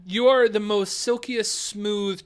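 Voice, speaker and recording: A man's voice: high voice, steady tone, slow, clear, resonant tone. Dry, teasing man on a podcast. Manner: teasing, dry, stubborn banter. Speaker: podcast co-host. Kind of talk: casual chat.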